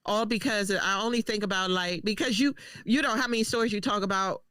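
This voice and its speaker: A woman co-hosting a podcast, teasing and talking loudly in an animated, casual chat. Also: medium pitch, brisk, clean tone, dry recording, studio quality. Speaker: podcast co-host.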